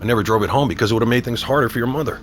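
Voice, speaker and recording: A middle-aged man with an American accent acting in an audio drama as a stern, guarded policeman and father, measured and authoritative. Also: medium pitch, slight background noise, lightly acted. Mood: defensive.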